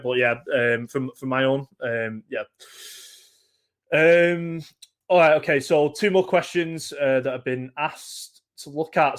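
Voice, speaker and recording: A young man with a Mancunian accent speaks in a casual, unscripted way, stopping for "um" and doubling back on his words. His voice sits in a middle pitch range. He explains things to a workshop audience. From Northern England, Manchester. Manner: hesitant, lots of ums and repeated words.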